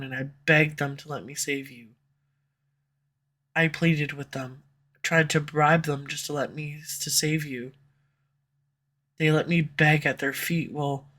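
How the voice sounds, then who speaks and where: A woman's voice: low voice, fairly bright tone. A woman voice actor playing a proud, guarded female villain who swears and turns defensive when pushed, recorded on a USB condenser mic. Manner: curses often, defensive and flustered when pressed. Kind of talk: audio roleplay acting. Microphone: USB condenser microphone.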